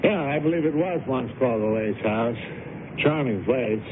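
A courteous male estate agent, played by a radio actor talks in a measured, slightly hesitant way at a medium pitch. It comes through a thin, noisy old radio drama broadcast. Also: narrow, tinny audio, lightly acted. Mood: polite, businesslike.

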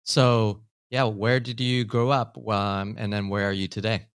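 A man's voice: fairly low pitch, level tone, measured pace, clear, resonant tone, dry recording, clean studio sound. A man hosting a podcast, introducing the show and putting questions to his guest. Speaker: podcast host.

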